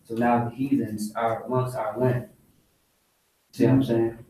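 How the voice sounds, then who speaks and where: A man's voice: mid-range voice, flat delivery, unhurried, distant mic, crowd noise. A man preaching casually to a small group, insistent, repeatedly checking he is understood. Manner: insistent, keeps asking "see what I'm saying?". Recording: echoey room, voice heard from off mic.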